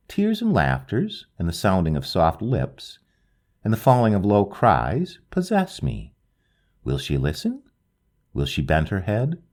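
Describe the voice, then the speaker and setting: A man reading a literary ghost story aloud, slow and hushed, lingering over each phrase. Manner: slow, hushed and lingering, with wide swings of pitch. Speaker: audiobook narrator.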